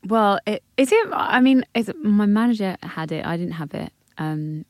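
A woman's voice: medium pitch, lively intonation, normal speed, darkish tone, no room sound, clean studio sound. A young woman musician, a guest on a podcast, chatting loosely and laughing often. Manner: laughing, loose, self-deprecating. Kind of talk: casual chat.

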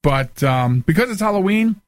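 A man's voice: high voice, expressive, moderate pace. A man hosting a show, chatting casually to his listeners and often repeating himself. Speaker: podcast radio show host.

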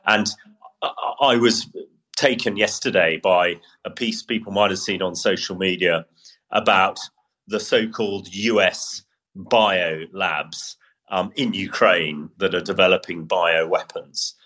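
Deep-voiced man, bright forceful tone. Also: unhurried. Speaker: military analyst and adviser. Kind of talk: spoken news commentary.

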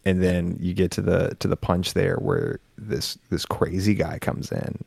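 Low gravelly monotone man. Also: measured pace, a little hiss or hum. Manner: monotone, laid-back delivery. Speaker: podcast co-host and story narrator. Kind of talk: casual chat.

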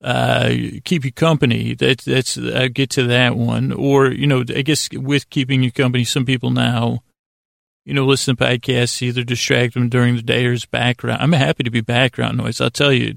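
A man hosting a sleep podcast in a casual, meandering monologue, halting and stammering with self-deprecating asides. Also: mid-range voice, steady tone, gravelly, fairly bright tone, no room sound, studio quality. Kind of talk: rambling, casual bedtime monologue.

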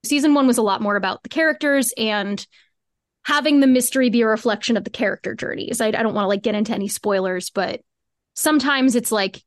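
High-voiced woman explaining her creative craft. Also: dry recording, studio quality. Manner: explanatory, informal, peppered with "like" and "cool". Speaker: podcast guest, audio drama co-creator. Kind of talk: casual interview conversation about craft.

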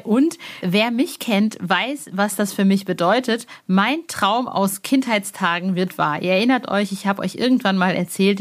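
A woman presenting a podcast in an informal, enthusiastic and chatty way. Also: medium pitch. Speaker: podcast host.

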